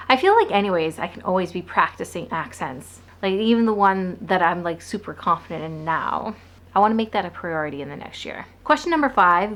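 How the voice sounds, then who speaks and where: A woman's voice: lowish voice, a bit bright. A young woman from the Boston area, a book vlogger chatting casually to camera, rambling with lots of asides.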